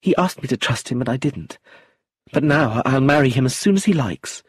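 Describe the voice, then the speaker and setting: Man doing young woman, grieving, blunt, firm, slightly higher, husky, dry. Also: lively intonation, fairly quick. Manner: terse, firm, a little raised.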